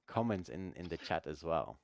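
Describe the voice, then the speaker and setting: A man with a French accent talks with emphatic, animated energy, now and then laughing through a point. His voice sits deep and low. The sound is dry and close, with no room around it. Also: moderate pace, creaky, no room sound. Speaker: threat researcher co-host. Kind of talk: live stream discussion.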